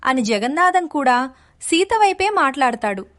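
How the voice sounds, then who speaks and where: A woman's voice: sits high, sing-song, moderate pace, a bit bright. A woman reading a scripted children's moral story as its narrator.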